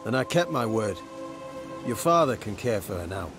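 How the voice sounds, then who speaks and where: An actor playing a devout, firm officer of a zealous church in a video game, formal and composed. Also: male voice, on the low side, very animated, moderate pace. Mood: solemn, firm. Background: game music.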